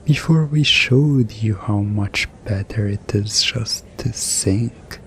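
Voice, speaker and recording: A man playing a mischievous, smugly commanding hypnotist in an ASMR roleplay, soothing and teasing with deliberate pauses. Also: fairly low pitch, a bit bright, a little hiss or hum.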